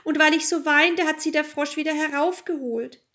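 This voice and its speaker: Woman as a high-voiced, fretful young princess. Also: steady tone, moderate pace, pushed and bright. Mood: uneasy. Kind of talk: fairy tale storytelling.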